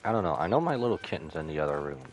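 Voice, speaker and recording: Guy streaming a game, really low voice, chill slangy reactions, faint music behind. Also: sing-song, rapid-fire, poor audio. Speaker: gaming streamer. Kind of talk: casual playthrough commentary, reading dialogue aloud.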